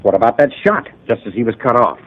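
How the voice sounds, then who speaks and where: An actor playing an earnest associate in a 1950s radio drama, urgent and questioning. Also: male voice, medium pitch, lively intonation, fast, natural voice. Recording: lo-fi old radio broadcast.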